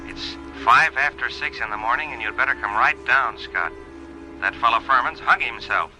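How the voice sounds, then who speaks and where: A laconic, folksy deputy heard as a telephone voice in an old radio drama. The pitch is medium, and loud music plays behind. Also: male voice, normal speed, low-quality recording. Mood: dry, matter-of-fact. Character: folksy, laconic small-town deputy reporting in. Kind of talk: 1940s radio crime drama acting.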